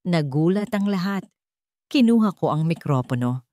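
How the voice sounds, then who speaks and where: A woman's voice: lowish voice, lively intonation, moderate pace, mellow, no room sound, clean studio sound. A woman reading a scripted first-person story as a dramatic audiobook narrator.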